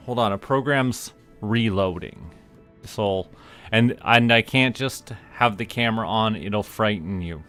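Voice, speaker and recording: A man chatting casually on a livestream as host, rambling and halting in asides to viewers. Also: on the low side, a bit bright. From Canada. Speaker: streamer, VTuber host.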